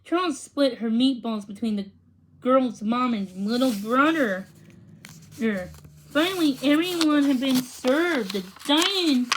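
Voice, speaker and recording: High-voiced woman reading slowly aloud. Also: husky, poor audio. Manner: halting reading, stumbles and repeats words. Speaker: storybook reader. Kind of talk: children's read-aloud narration.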